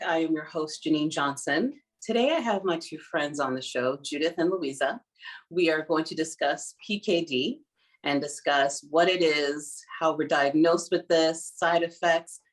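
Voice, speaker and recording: A woman hosting a talk show, friendly and encouraging as she introduces her guests. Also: low-pitched. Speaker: podcast host.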